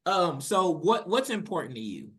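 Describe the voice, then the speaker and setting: Bright-voiced teasing podcast host, man. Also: high voice, very animated, moderate pace. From Louisville, Kentucky. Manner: laid-back, teasing, laughs often. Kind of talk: casual conversation.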